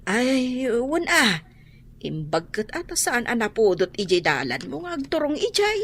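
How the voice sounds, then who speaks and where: A woman's voice: on the high side, huge pitch swings, unhurried, a bit bright, full character voice. A woman with an Ilocano accent playing a chatty, friendly village neighbour in a radio drama. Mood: friendly, curious.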